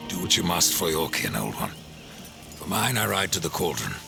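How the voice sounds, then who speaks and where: Sardonic game horseman of doom; extremely low, heavy gravel, dry menace with swooping inflection. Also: big pitch swings, moderate pace, exaggerated voice. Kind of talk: dramatic video game acting. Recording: loud music behind the voice.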